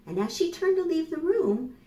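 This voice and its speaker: An American woman in her fifties reading and explaining to young children as a storytime host, with deliberate, animated delivery. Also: extremely high pitch. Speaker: children's librarian hosting storytime. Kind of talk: children's storytime narration and instruction. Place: small plain indoor room. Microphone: camera microphone a few steps away. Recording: roomy echo, voice far from mic.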